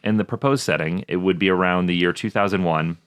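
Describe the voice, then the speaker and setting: A man with a very deep voice co-hosts a podcast in a dry, close-sounding space. He talks casually and jokes around, sometimes reading out background notes. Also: fast, fairly bright tone, clear, resonant tone, no echo. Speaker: podcast co-host.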